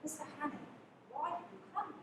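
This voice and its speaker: A woman's voice: a little fast, natural voice. A young woman acting a suave, menacing professor and secret spy in a radio play, polite and coolly threatening. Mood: smoothly menacing. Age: teenager. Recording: echoey, distant livestream with loud rumbling noise.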